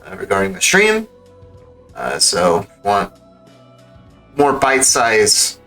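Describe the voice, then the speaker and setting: Man, lowish pitch, bright punchy tone, casual livestreamer chat, informal and rambling, background music. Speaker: game livestreamer and speedrunner.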